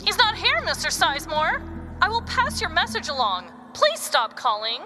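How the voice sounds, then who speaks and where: Woman playing a stern, animated school staffer. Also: very high-pitched, moderate pace, over music. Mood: weary, firm. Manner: firm, officious, formal. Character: stern, formal school staffers fielding an abusive caller. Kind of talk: acted comedy story.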